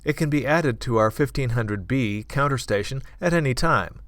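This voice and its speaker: A man reading scripted corporate product narration in a measured, clearly enunciated manner. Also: mid-range voice, fairly bright tone, no room sound. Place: dry studio voice-over recording.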